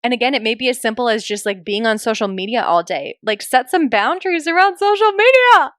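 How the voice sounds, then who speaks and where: A woman's voice: fairly high pitch, animated, a little fast, a bit bright, no room sound, clean studio sound. A woman hosting a solo podcast in a casual, chatty and emphatic way, speaking informally as a business coach.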